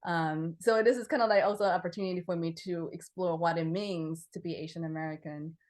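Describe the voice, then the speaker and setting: Young woman from taiwan, bit low voice, lots of um and uh, chatty interview. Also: steady tone, brisk, a little mellow. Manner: hesitant, many fillers and restarts, laughs often. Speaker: interviewed composer. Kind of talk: casual interview answers.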